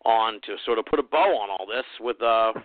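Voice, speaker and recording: A man's voice: fairly low pitch, brisk, no room sound. A man hosting a radio show, talking loosely with lots of fillers. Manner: loose, rambling, many fillers. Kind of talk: casual radio interview. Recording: dry tinny phone-like sound.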